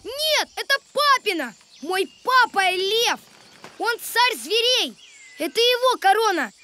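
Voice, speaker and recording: A woman voicing a naive, self-important little boy lion prince in a children's cartoon, plaintive and excitable. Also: very high voice, takes it slowly, a little hiss or hum, exaggerated voice. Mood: indignant. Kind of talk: animated children's cartoon acting. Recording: music behind the voice.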